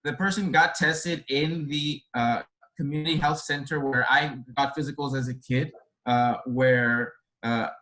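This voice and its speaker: A man's voice: fairly high pitch, sharp tone, low-quality recording. A man from Boston whose first language is Spanish, a care resource coordinator on a video call. He answers a webinar panel question earnestly and reflectively, in long winding sentences. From Boston, United States.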